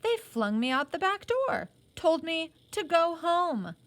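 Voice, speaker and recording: A woman's voice: very high voice, big pitch swings, slow, fairly bright tone, pitched above the performer's own voice, heavily acted. A woman voicing a proud older teenage apprentice boy in a read-aloud novel, clipped and indignant. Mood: indignant, wounded pride.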